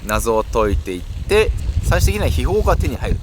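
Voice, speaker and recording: Guy doing a casual outdoor vlog, pitch bounces around, clear background noise, explains stuff straight to viewers. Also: big pitch swings, normal speed. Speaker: cycling vlogger presenting local activities. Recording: noisy outdoor audio with wind rumble.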